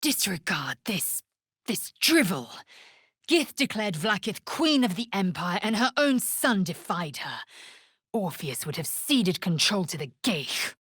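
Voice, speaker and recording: Husky fierce alien warrior woman for a video game, haughty and clipped, slow delivery w big pitch swings. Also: very animated, a bit bright, dry recording, clean studio sound, full character voice. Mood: scornful. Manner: imperious, clipped, disdainful. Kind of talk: video game character voice lines.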